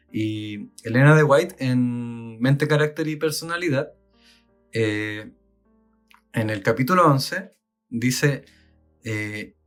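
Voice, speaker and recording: A Chilean man co-hosting a podcast, talking thoughtfully with many fillers and reading passages aloud. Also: mid-range voice. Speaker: podcast co-host. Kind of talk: casual talk and reading aloud.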